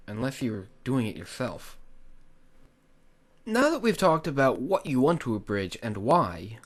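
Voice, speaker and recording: Male tutorial host, scripted and earnest, very lively intonation at a medium pitch. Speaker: tutorial host, hobby video creator.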